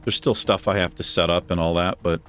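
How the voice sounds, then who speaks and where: A man with a deep voice hosting a gaming channel, chatting casually and self-deprecatingly while he plays. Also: fairly even intonation, fairly quick, fairly bright tone, smooth, soft background music. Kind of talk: casual gameplay commentary. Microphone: desk microphone.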